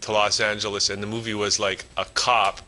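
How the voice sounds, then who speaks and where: A man's voice: fairly low pitch, level tone, brisk, pushed and bright, slight background noise. A man interviewing a guest in a casual chat, asking curious, rambling questions with fillers.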